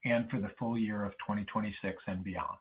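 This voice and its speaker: A man's voice: on the low side, fairly even intonation, fairly quick. A man in the role of a company finance executive reads a formal, prepared presentation. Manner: formal, reading prepared remarks. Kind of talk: scripted earnings call presentation. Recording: muffled narrow phone line.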